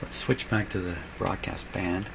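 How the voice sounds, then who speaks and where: A man casually thinking aloud as he troubleshoots at a workbench, halting, repeating himself and asking himself questions. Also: deep voice, level tone, creaky. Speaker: host, radio repair hobbyist. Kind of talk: casual hands-on narration while troubleshooting. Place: small cluttered home electronics workshop, indoors. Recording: loud electrical hum and noise. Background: loud hum.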